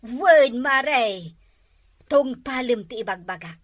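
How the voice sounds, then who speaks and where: A woman's voice: high voice, lively intonation, takes it slowly, no echo. A woman with an Ilocano accent playing a neighbor who gives advice on a home remedy in a comedy drama. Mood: advising.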